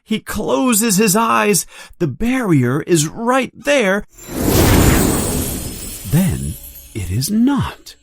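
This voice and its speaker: A narrator reading a scripted story aloud for learners in a deliberate, clearly enunciated manner. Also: medium pitch, wildly swooping pitch, soft background music. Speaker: audiobook narrator. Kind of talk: scripted read-along storytelling.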